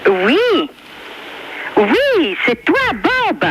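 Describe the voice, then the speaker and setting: A woman's voice: high-pitched, wildly swooping pitch, extremely slow. A woman in her fifties from France chatting casually on a phone call, accommodating and hesitant. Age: 50s. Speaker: member of the public receiving prank call. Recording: phone call recording with faint noise.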